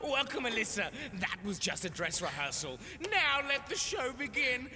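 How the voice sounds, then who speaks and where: An actor playing a taunting, theatrical killer spirit in a video game, mockingly polite. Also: male voice, extremely high pitch, sing-song, normal speed, full character voice. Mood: mocking glee. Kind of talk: video game villain acting. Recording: game audio under loud music.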